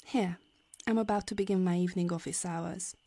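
A woman's voice: fairly low pitch, steady tone, brisk, warm tone, dry recording. A woman playing a prim, condescending physics professor in an audio drama, with a formal, pompous manner. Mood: composed, condescending. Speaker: voice actor in an audio roleplay. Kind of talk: science fiction audio drama dialogue.

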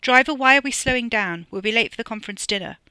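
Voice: mid-range voice, fast talker, pushed and bright, clean tone, no room sound, pitched above the performer's own voice. A narrator voicing an overbearing, confident upper-class young man who gives orders in an audio story. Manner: bossy, commanding.

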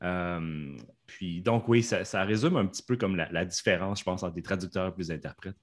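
A man's voice: low-pitched, normal speed. A man from Canada with a Canadian French accent, an interpreter talking in a podcast interview from his home studio, hesitant with many fillers and restarts.

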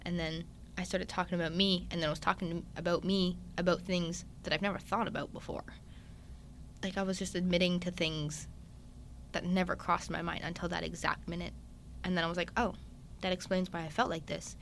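A young man, a guest in a casual chat, talking openly and at length with lots of fillers and animated retellings. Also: high-pitched, a little fast, vocal fry, a little hiss or hum. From Newfoundland, Canada. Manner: chatty and open, many "like" fillers, animated retellings.

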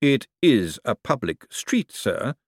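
A man voicing an urbane, calmly menacing ruler who speaks in a measured, formal, drily ironic way in an audiobook. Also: mid-range voice, dry recording, studio quality, voice pushed up, full character voice. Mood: smooth, dry. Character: urbane, calmly menacing ruling lord, dry and precise.

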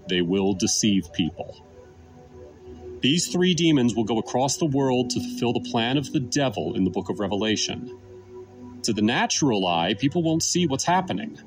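A man giving scripted Bible teaching in an emphatic, deliberate way. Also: medium pitch. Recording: music behind the voice. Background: background music.

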